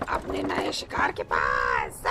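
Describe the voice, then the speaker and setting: A woman voicing an evil female witch in a cartoon story, with gloating shouts and cackling laughter. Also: very deep voice, very noisy, heavily acted. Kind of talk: cartoon voice acting.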